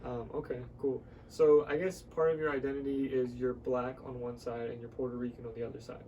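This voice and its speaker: A man's voice: medium pitch, little pitch movement, brisk, warm tone, distant mic, slight background noise. A man hosting a casual conversational podcast interview, often echoing short words of agreement as he listens. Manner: frequent short affirming responses, repeats words.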